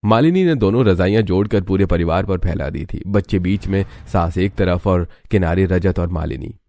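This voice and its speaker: A man's voice: low-pitched, moderate pace, warm tone, no echo. A man narrating a scripted cartoon story in a dramatic storytelling style.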